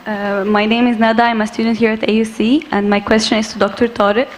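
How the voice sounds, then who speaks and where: A young woman student with a fairly high voice asks a measured question of the panel. A faint murmur of the hall is behind her. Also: level tone, slight background noise.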